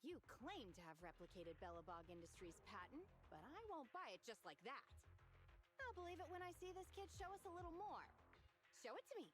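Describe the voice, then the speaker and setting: A machine-obsessed young engineer from a video game who talks with analytical enthusiasm. Her voice is high and lively. She is stubborn when she is challenged. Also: brisk, soft background music. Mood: defiant. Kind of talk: game cutscene voice acting.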